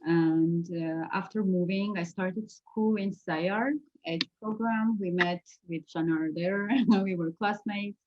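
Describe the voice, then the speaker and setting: A woman's voice: lowish voice, takes it slowly, few pauses, muffled recording, background noise. A woman in her thirties from Armenia with an Armenian accent, talking casually and hesitantly as an interview guest. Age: 30s. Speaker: architect, award-winning interview guest.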